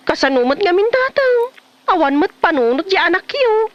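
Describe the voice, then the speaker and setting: A woman's voice: extremely high pitch, sing-song, moderate pace, no room sound, dull audio. A woman with a Filipino Ilocano accent playing a pregnant young wife in a comedy drama. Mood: nagging. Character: nagging pregnant young wife of a lazy husband.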